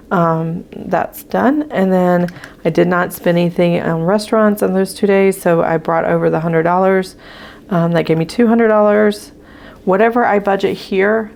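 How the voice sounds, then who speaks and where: A woman with a hoarse voice, casually walking viewers through her budget sheets as a channel host, with frequent ums as she writes. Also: lowish voice, a little mellow. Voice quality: gravelly. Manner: chatty with frequent ums, talking while writing.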